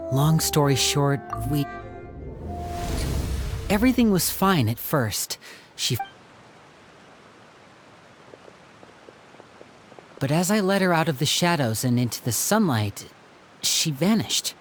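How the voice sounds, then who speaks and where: A man playing a composed, earnest young man in a video game, explaining things in a measured, serious way. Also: fairly high pitch, sing-song, soft background music. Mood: pensive. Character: composed, earnest young man, loyal member of secretive household.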